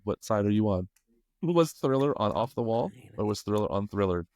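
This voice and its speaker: A man's voice: fairly low pitch, animated, normal speed, a little mellow, dry recording. A middle-aged man co-hosting a podcast in casual banter, teasing playfully and speaking emphatically. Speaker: podcast co-host.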